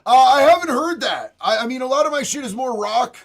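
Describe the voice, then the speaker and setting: A man hosting a casual livestream and answering viewer chat in a blunt, profane, slangy way. He has a raspy voice and calls his viewers bro and homie. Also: extremely high pitch, lively intonation, a little fast. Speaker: livestream host reading chat.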